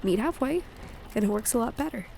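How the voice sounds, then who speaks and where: A woman playing an affectionate, playful wife and mother in an intimate audio roleplay, speaking in a gentle, teasing way. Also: on the high side, animated, brisk, vocal fry, a little hiss or hum. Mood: warm advising.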